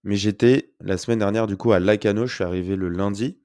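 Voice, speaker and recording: A man's voice: fairly low pitch, steady tone, brisk, a little mellow, no echo, studio quality. A young man hosting a casual solo podcast from home, talking through a blocked nose with a sore throat, chatty and upbeat with many fillers. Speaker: podcast host. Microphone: close podcast mic.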